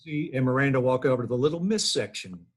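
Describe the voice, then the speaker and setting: A man's voice: mid-range voice, quick pace. A man in his thirties reading scripted stage directions at a table read over a video call. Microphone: computer on video call.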